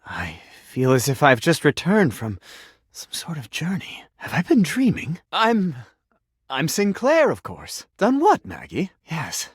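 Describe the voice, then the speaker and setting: A man's voice: a little high, wildly swooping pitch, unhurried, breathy edge, no room sound, studio quality, heavily acted. A wildly swooping, pompous male talking sink. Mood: calm, weary. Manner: pompous, melodramatic, formal diction. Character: deluded talking male sink, theatrical, pompous claims adjuster. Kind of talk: video game character acting.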